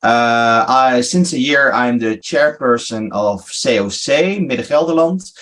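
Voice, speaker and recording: A man's voice: medium pitch, unhurried, fairly bright tone. Frisian-born man, mid-range voice, light and joking, on a video call. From Friesland, Netherlands. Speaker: chairperson of an advocacy group.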